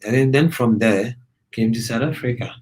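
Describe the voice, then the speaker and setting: A man's voice: mid-range voice, moderate pace, a little mellow, a little hiss or hum. A man who grew up in Ghana, chatting casually on a live stream, thoughtful and often searching for his words.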